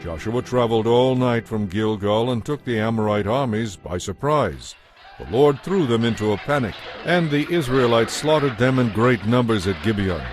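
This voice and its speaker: A man narrating scripture from a script in a measured, solemn, dramatic way. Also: medium pitch, expressive. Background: music.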